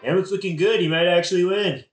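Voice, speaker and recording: A man's voice: high-pitched, fast talker, roomy sound, loud music behind the voice, close to an everyday voice. A man playing a smug, boastful, scheming king in a modern comic audioplay, with a glib, bragging manner. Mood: falsely genial.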